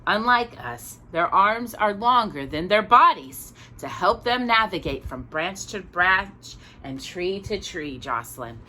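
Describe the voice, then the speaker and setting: A woman reads a children's picture book aloud with a bright, forward tone and animated swings in pitch. She takes her time and drops in casual, chatty asides. A little noise sits behind her voice. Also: a little slow, hardly any pauses. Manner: expressive, chatty, stumbles and corrects herself. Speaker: storytime host reading a picture book.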